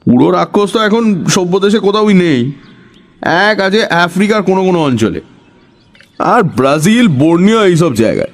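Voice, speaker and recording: A man's voice: high voice, sing-song, normal speed. A man playing a knowing, conspiratorial older lad who explains things with solemn assurance in an audio horror story. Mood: grave, knowing.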